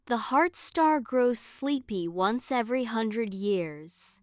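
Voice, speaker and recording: Slow, smooth, kindly headmistress played by a woman. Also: on the high side, expressive, no room sound, clean studio sound. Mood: gentle, solemn. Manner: gentle, solemn, measured. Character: calm, kindly silver-haired headmistress of a magic academy. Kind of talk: children's bedtime storybook dialogue.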